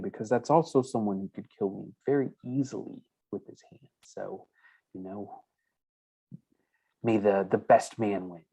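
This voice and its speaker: A man's voice: fairly low pitch, darkish tone, low-quality recording. A man hosting a crypto news show, reading stories aloud and adding chatty asides in a casual, conversational way.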